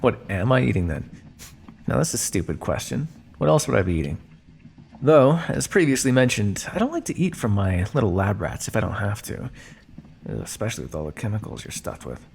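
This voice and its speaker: A man's voice: lowish voice, huge pitch swings, brisk, gravelly, darkish tone, full character voice. A man playing a smug, teasing vampire scientist captor in an ASMR roleplay, speaking with a theatrical, drawling, mock-polite and sardonic manner. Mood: smug, explanatory. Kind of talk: ASMR roleplay monologue addressed to listener.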